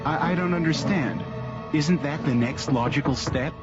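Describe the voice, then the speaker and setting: A man's voice: a little high, normal speed, lightly acted. A man playing an earnest junior lab scientist in a science fiction radio drama. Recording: dull old radio recording under loud music.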